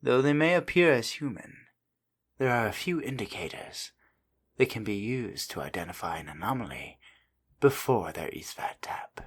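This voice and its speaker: Husky man reading formal archive entries. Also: medium pitch, expressive, normal speed. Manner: formal, clinical wording. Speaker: narrator. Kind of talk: scripted in-world archive narration.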